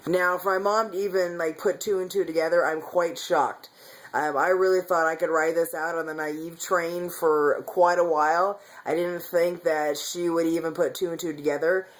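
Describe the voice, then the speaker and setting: A man's voice: fairly high pitch, fairly even intonation, normal speed, poor audio. A man talking candidly in a casual personal vlog, rambling with frequent restarts and self-corrections. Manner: candid, confessional. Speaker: vlogger.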